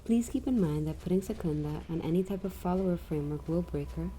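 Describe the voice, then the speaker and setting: A woman's voice: on the low side, fast, mellow, a little hiss or hum. A woman presenting a game mod in scripted narration.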